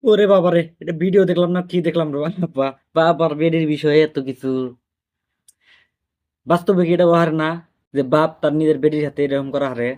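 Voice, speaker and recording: A man with a fairly high voice and a regional Bangladeshi Bengali accent reacts as a video host. He talks quickly and casually, leaning hard on his words in disbelief. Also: steady tone, a little mellow.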